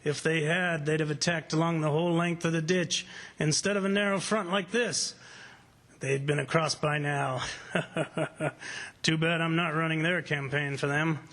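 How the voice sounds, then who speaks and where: A man's voice: fairly high pitch, fairly even intonation, unhurried. A man playing a defiant, commanding plantation boss in an old-time radio drama, brusque and scornful as he gives orders. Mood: smug. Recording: live stage performance with loud background noise.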